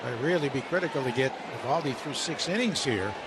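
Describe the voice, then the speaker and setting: A man's voice: mid-range voice, expressive, fast talker. A man giving analysis as a commentator on a live radio baseball broadcast. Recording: very noisy live stadium broadcast. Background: loud outdoor ballpark ambience.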